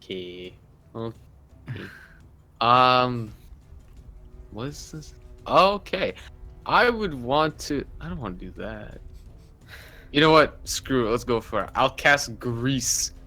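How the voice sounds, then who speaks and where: A man playing a tabletop roleplaying game talks casually with his group. His pitch swings wildly, his voice is bright and sharp, and he takes his words slowly. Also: big pitch swings, faint music behind.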